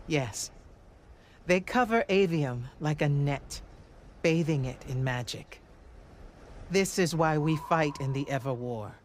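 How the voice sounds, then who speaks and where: An actor playing a commanding male battlemage mentor in a video game, speaking in a measured, grave, explanatory way. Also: a little high, animated, a little hiss or hum. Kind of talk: cinematic game dialogue.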